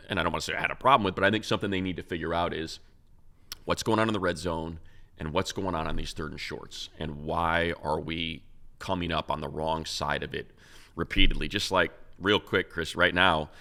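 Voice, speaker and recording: A low-voiced American man talks football as a show host. His voice is gravelly and bright, with real edge to it. He makes his points emphatically, in a loose, rambling conversational style. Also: steady tone, fairly quick. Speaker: sports show host and analyst. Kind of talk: unscripted football talk.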